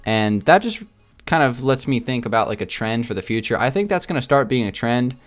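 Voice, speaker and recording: A man's voice: mid-range voice, expressive, brisk. A man chatting casually to his viewers in a gaming video, rambling a little and often repeating himself. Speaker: video game YouTuber.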